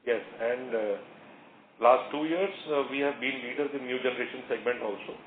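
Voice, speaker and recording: A man's voice: medium pitch, moderate pace. Man, Indian accent, company executive, hesitant explanatory answers, thin phone sound, faint noise. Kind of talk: earnings call answers, unscripted. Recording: roomy, noisy, narrow phone line.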